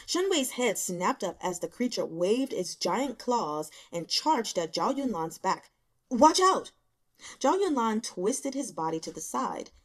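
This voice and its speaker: A woman reading a story aloud as dramatic, expressive scripted narration. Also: mid-range voice, unhurried, few pauses. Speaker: audiobook reader.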